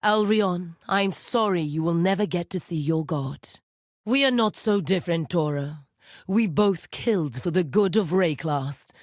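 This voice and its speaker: A woman playing a commanding, coldly seductive necromancer villainess in a video game, speaking in a grandiose, deliberate, menacing way. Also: lowish voice, no room sound, clean studio sound, lightly acted. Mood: cold judgment.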